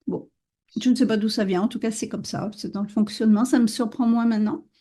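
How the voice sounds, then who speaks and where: A French woman hosting a podcast interview, speaking in a casual, thoughtful way with frequent pauses and fillers. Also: fairly high pitch, steady tone, warm tone. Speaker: podcast host.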